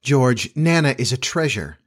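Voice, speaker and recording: A man's voice: medium pitch, animated, a little slow. A man voicing a placid, tender Edwardian mother in a storybook, with a soothing, wistful manner.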